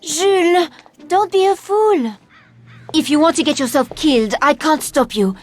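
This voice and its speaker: An actress playing a sharp-tongued, disdainful young woman in a video game, cutting and protective. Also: high-pitched, unhurried, faint music behind. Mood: scornful.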